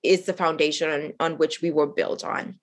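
A middle-aged woman from Haiti tells her story as an interview guest. Her voice sits a little low, and she speaks earnestly and reflectively, often pausing on fillers and restarting her sentences. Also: fairly even intonation. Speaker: guest, nonprofit cofounder.

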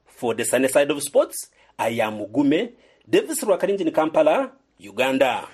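A man's voice: a little high, very animated, moderate pace, a little mellow, slightly rough. A man reporting a scripted radio sports feature, narrating between interviews.

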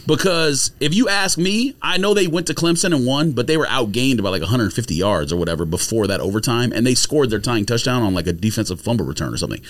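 Animated man talking sports, emphatic. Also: medium pitch, fast talker, few pauses. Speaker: sports podcast host. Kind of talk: casual sports debate.

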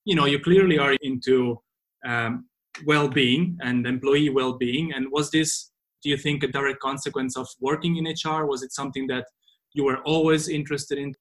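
A man's voice: mid-range voice, fairly even intonation, normal speed, low-quality recording. A man hosting a podcast, introducing and questioning his guest with many repeated words and restarts. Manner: stumbling repetitions, warm thanks. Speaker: podcast host. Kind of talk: casual interview hosting.

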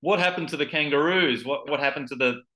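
A man's voice: a little high, fast, bright, forceful tone, echoey room. A man with an Australian accent, an author answering interview questions thoughtfully, often restarting his phrases. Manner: thoughtful, with frequent restarts and repeated words. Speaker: author guest.

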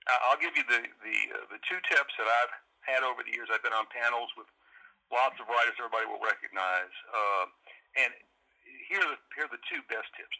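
Bright, forceful mature man on a call. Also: mid-range voice, poor audio. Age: middle-aged or older. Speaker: interview guest, author and lawyer. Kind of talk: conversational explanation in an interview.

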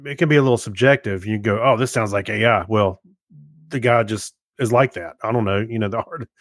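A man's voice: fairly low pitch, a little fast, no room sound, clean studio sound. A man co-hosting a podcast in casual chat, with loose, hesitant phrasing that tends to trail off. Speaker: podcast co-host.

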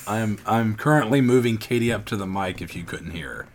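A man's voice: fairly low pitch, normal speed, slight background noise. A man losing his voice who co-hosts a podcast with his daughter, chatting casually and ranting. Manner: animated ranting, frequent restarts. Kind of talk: casual chat.

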